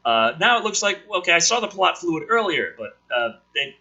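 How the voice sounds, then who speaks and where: Harsh, bright-voiced man ranting, swinging wildly in pitch. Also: very animated, moderate pace, lo-fi sound. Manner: exasperated, sweary, incredulous. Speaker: reader and critic of a film script draft. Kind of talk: script reading with ranting commentary.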